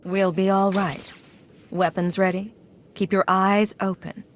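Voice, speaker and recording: A woman's voice: mid-range voice, level tone, unhurried, a little hiss or hum, close to an everyday voice. An actress playing a cool female secret agent in charge of a gang in a video game, terse and authoritative. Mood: commanding.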